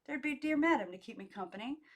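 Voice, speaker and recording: A woman's voice: high-pitched, animated, quick pace, pitched above the performer's own voice. A woman voicing a brash, plucky young mother in an audiobook, with emphatic, colloquial delivery. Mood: spirited. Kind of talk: audiobook character dialogue.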